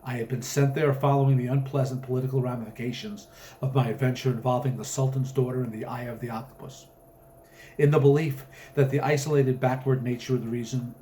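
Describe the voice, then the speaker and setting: A man's voice: medium pitch, dark tone, slight background noise, lightly acted. A man voicing a pompous old colonel who tells florid, melodramatic adventure tales in a storybook reading.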